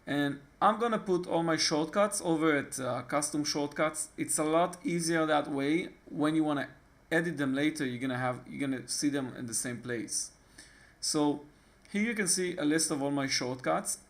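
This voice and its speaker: Israeli-accented man walking through a tutorial. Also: on the high side. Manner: halting, frequent fillers and restarts. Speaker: tutorial host. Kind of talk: casual screen-recording tutorial.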